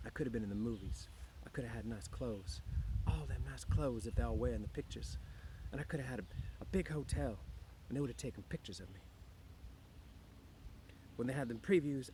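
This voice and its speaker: A man's voice: mid-range voice, brisk, noisy background, voice pushed up, natural voice. A man voicing a young, dreamy, discontented small-town wife with rambling, slangy speech in an audiobook. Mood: wistful. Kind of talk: audiobook character voice.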